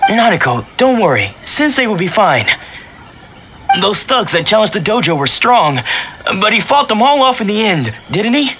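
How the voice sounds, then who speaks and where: A man's voice: sits high, big pitch swings, moderate pace, background noise. An actor playing a young male sword disciple in a video game, protective, earnest and formal in manner. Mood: reassuring.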